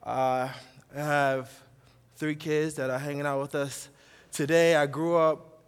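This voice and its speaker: A man's voice: a little high, level tone, measured pace, clean tone. A middle-aged man from New York with an American accent, preaching a sermon with emphatic repetition and conversational asides to the congregation. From New York, USA. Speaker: guest preacher, pastor. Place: large reverberant room, preaching to a congregation. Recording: long hall reverb.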